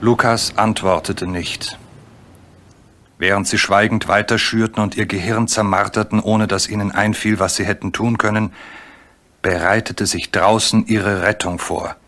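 A man's voice: fairly low pitch, fairly even intonation, unhurried, fairly bright tone. A man narrating a scripted children's adventure story as the narrator of a radio play. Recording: faint background noise.

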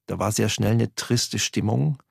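A man with a gravelly, husky, rather low voice muses hesitantly in an interview. He laughs at himself at times. The recording is dry and close. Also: unhurried, no echo. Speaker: interviewee remembering his youth.

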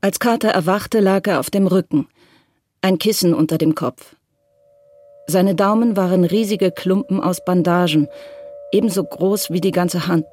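Measured radio play narrator. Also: medium pitch, darkish tone. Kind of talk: scripted narration.

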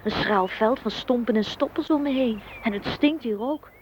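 A woman's voice: on the high side, normal speed, close to an everyday voice. A woman playing a forlorn, plaintive blade of grass in a poetic radio play. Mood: bleak. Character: forlorn blade of grass, alone in a mown field. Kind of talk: Dutch radio play acting. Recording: dull, faintly noisy old radio recording.

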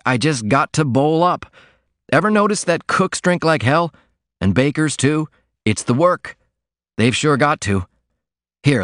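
A rowdy, reckless male laundry worker shouts in a raised, rough drawl, as a male reader puts him on. The storybook voice sits higher and louder than the narration around it, and the read is dry and close. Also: no room sound.

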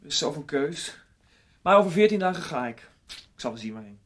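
Timid, evasive male radio drama role: husky, lightish pitch, hesitant and stammering. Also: sing-song, brisk, fairly bright tone. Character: timid, evasive man who is put upon by others. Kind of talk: radio play acting.

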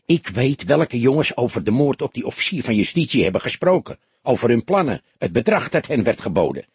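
A male reader plays a small, loyal café owner from the criminal underworld in an audiobook. The voice is husky and animated, earnest with an edge of venom. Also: mid-range voice, a little fast, dry recording, heavily acted. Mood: matter-of-fact.

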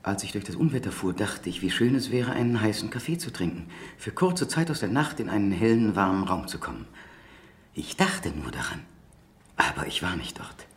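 An actor playing a haunted suspect in a German radio crime drama, confessing compulsively in halting, intense, dreamy recollection. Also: male voice, fairly low pitch, big pitch swings. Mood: dazed, wistful.

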